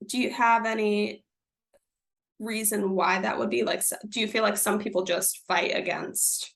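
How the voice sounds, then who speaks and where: A woman host with a gravelly, fry-heavy voice in a mid-range pitch. She talks casually and hedges her questions with plenty of "like.". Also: steady tone, moderate pace, fairly bright tone. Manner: asks questions, hedging with "like". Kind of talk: casual conversation.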